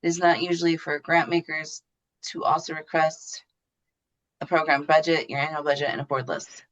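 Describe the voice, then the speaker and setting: A woman's voice: sits low, flat delivery, normal speed, highs cut off. A woman explaining things as a podcast guest in casual, informal interview talk. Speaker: podcast guest explaining her know-how.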